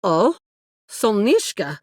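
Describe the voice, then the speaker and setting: A woman's voice: mid-range voice, big pitch swings, slow pace, dry recording, clean studio sound. A woman playing a doting, sultry Russian sweetheart in a video game, speaking tender, formal broken English with a Russian accent. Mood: adoring. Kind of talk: video game character voice acting.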